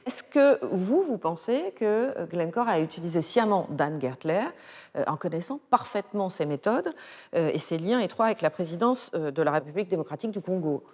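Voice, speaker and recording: A woman's voice: lowish voice, lively intonation, fairly quick, a little mellow, big-room sound. A woman journalist asking probing, insistent interview questions.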